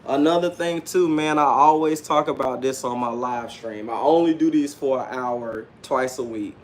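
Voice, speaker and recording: A man's voice: medium pitch, normal speed, a little hiss or hum. A man in his late thirties giving a casual, unscripted livestream monologue in a self-assured, slangy, repetitive way. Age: late 30s. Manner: self-assured, slangy, repeats himself, often says "man". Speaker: livestream host and self-published author.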